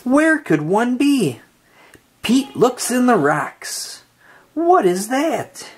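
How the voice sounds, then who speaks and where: A woman reading a children's picture book aloud with slow, expressive, sing-song delivery. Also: fairly high pitch, breathy edge. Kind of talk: read-aloud storytelling for kids.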